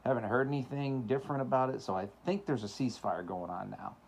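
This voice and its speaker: A man's voice: medium pitch, fast, gravelly, slight background noise, rough recording. A middle-aged man hosting his own show, talking in a rambling, casual monologue full of fillers and laughs, his voice cracking at times. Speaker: show host.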